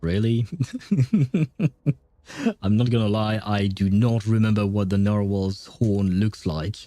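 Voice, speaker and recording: A man's voice: lowish voice, flat delivery, slow pace, no room sound. A man livestreaming a video game with casual, chatty commentary, thinking aloud as he plays. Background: soft game music.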